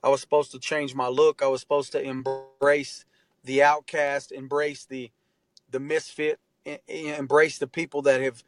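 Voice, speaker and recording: A man's voice: mid-range voice, level tone, moderate pace, faint music behind. A man around forty, a guest in an interview, telling his story in an earnest, heartfelt way and often saying "man". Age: 40s. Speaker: interview guest, artist and tattoo artist. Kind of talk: candid conversational interview.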